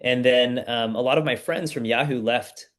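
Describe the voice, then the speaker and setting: A man in his thirties from the United States, a startup founder guest telling his story in a relaxed, casual way with plenty of fillers. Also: medium pitch, normal speed. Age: 30s. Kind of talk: casual podcast interview.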